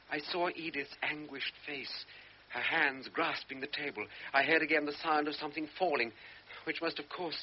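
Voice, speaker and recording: An actor voicing a young lieutenant's brooding inner monologue of guilt in a radio drama. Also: male voice, medium pitch, close to an everyday voice. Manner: inward, brooding first-person monologue. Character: guilt-ridden young lieutenant recalling his shame. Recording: dull old radio broadcast, faint hiss.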